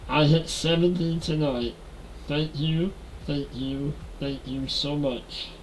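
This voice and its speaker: A man's voice: on the high side, takes it slowly, a little hiss or hum, poor audio. A man in his forties with a nasal voice, chatting casually with viewers on a live stream while playing a game on a headset mic. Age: 40s. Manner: relaxed, talks to chat while playing.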